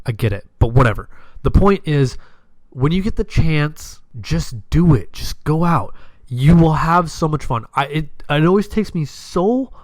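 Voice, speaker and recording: A man hosting a podcast, telling personal stories in a casual, slangy, animated way with plenty of swearing and acted-out dialogue. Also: mid-range voice, dry recording. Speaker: podcast host.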